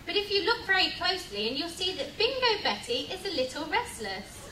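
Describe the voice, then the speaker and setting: A woman's voice: extremely high pitch, sing-song, fairly quick. A woman narrating a comic live amateur radio play from a script, playfully reading out the sound cues. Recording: distant, echoey, loud crowd noise.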